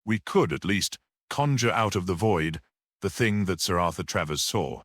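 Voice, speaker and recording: A man's voice: deep voice, lively intonation, a bit bright, no room sound, clean studio sound. Man as a mild, grave priest-detective. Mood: unruffled monologue. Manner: grave, measured, unruffled, almost dreamy. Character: mild, perceptive priest-detective, calmly reasoning.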